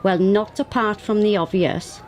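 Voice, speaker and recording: A woman's voice: medium pitch, steady tone, unhurried, lightly acted. A woman playing a matter-of-fact police sergeant in a radio play, chatty and dry. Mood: dry, informative. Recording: siren and vehicle noise behind.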